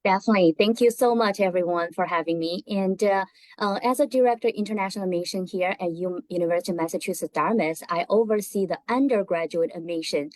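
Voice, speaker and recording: A woman, an admissions director, introducing herself on an online panel, quick and hesitant with fillers. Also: lowish voice, darkish tone, no echo, poor audio.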